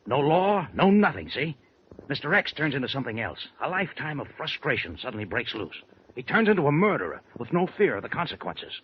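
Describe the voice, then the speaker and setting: A man's voice: very animated, fairly quick, a little hiss or hum, close to an everyday voice. A man playing a brash pushy newspaperman. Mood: pitching. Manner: pushy, salesman-like pitching. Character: Florney, brash fast-talking male newspaperman. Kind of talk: 1940s radio drama acting. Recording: dull old radio broadcast.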